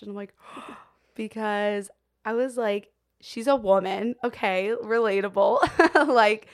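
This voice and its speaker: A woman's voice: mid-range voice, creaky. A young woman co-hosting a podcast, talking casually with lots of fillers and frequent laughs. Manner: chatty, many fillers like "um" and "like", laughs often. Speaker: podcast co-host. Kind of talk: casual chat.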